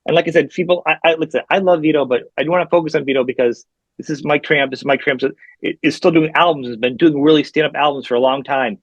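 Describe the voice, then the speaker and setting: A man hosting an interview, talking quickly and enthusiastically in a chatty way. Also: fairly high pitch, no room sound. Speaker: podcast host. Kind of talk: interview conversation. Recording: dry, dull lo-fi remote audio.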